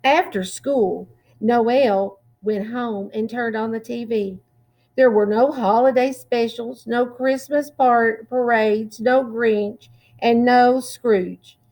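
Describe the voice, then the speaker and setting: A woman reading a children's picture book aloud in a deliberate, expressive way, drawing out words. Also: fairly high pitch, low-quality recording. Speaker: children's storytime reader.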